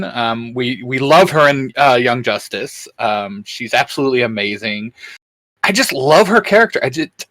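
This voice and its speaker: Lively, bright-voiced man in casual fan chat. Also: mid-range voice, no room sound, poor audio. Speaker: co-host of a geek culture show.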